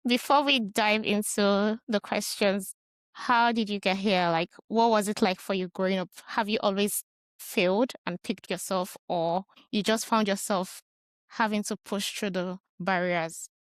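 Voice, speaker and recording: Woman, podcast host, friendly, mid pitch, dry studio sound. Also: fairly even intonation, a little slow, clean tone, dry recording. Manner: friendly, laughs often, reads intros. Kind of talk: podcast interview hosting.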